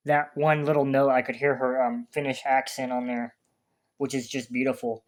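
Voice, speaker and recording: A man's voice: mid-range voice, little pitch movement, moderate pace. A man hosting a music reaction video, talking casually in a rambling way and often restarting his sentences. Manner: chatty, rambling, restarts sentences while searching for words.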